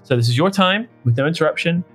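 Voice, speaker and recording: A man's voice: a little high, lively intonation, quick pace, soft background music. A man hosting a podcast in a casual comedic chat, rambling and joking at his own expense. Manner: jokey, rambling, self-mocking. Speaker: podcast host.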